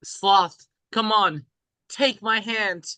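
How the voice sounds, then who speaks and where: A woman's voice: medium pitch, steady tone, drawn-out words, bright, forceful tone, no echo. A woman at a table read, playing a loyal young boy who pleads. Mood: pleading.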